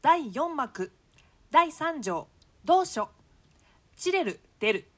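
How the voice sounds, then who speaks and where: A woman's voice: high-pitched, sing-song, extremely slow, fairly bright tone. A woman reading out stage directions formally for a play, with long reverberation on her voice.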